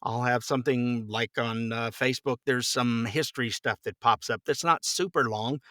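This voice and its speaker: A man's voice: medium pitch, fairly even intonation, moderate pace, pushed and bright, dry recording, clean studio sound. A middle-aged man chatting casually as an interview guest, telling anecdotes in a rambling, chuckling way. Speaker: podcast guest, voice actor.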